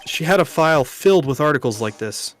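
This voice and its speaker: A man's voice: a little high, expressive, a little fast, slight background noise, lightly acted. A man voicing an earnest, dogged young defense lawyer in an amateur video game dub. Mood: accusing, forceful. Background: game music.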